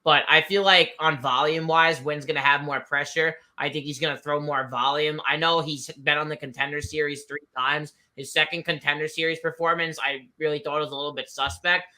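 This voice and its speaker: Blunt man with a very bright voice. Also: on the high side, flat delivery, brisk, hardly any pauses. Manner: blunt, rambling, leans on "I feel like". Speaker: betting analyst guest. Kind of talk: casual picks breakdown.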